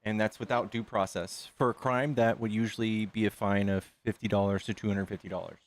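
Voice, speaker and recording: A man hosting a casual debate, asking rapid, pointed questions. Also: lowish voice, steady tone. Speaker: debate host.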